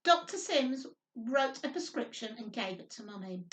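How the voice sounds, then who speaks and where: A woman with a high, very lively voice hosts a children's storytime, heard from a little way off in an echoey room. She reads and explains in simple, sing-song phrases, often tagging on little questions for the children. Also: moderate pace. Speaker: children's storytime host, nursery worker. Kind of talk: reading picture book aloud to young children. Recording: roomy echo, distant microphone.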